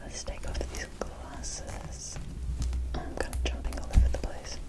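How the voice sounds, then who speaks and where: A woman doing casual, unscripted ASMR in a breathy whisper, chatting in a rambling, gushing way close to the mic. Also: measured pace. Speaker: ASMR video creator.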